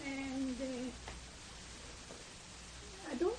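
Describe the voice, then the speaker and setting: A Scottish woman of about ninety talks slowly, with many pauses and fillers, in a fairly high voice. Her memories come out in a gentle, hesitant way. A steady tape hiss sits under the old 1970s recording. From Scotland. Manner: slow, hesitant, reminiscing with fillers. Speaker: interviewee. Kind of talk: oral history interview.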